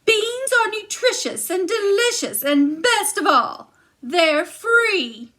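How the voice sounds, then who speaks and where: A woman voicing a bossy giant wife who scolds in a shrill voice. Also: big pitch swings, slow pace, pushed and bright, exaggerated voice. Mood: scolding.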